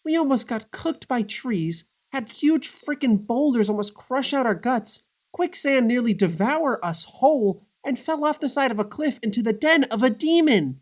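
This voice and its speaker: A woman playing an anxious, self-doubting young male witch, high-strung and exasperated, in a fantasy audio drama. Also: animated, normal speed, few pauses. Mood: frustrated. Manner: panicky, stammering, exasperated.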